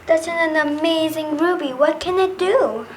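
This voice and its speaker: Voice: sits high, unhurried, background noise. An amateur performer with a youthful female voice playing an earnest storybook kingdom creature in reader's theater, reading carefully. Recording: music behind the voice. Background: clear background music.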